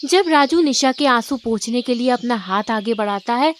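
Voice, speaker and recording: A woman's voice: on the high side, lively intonation, normal speed, slight background noise. A woman reading scripted story narration.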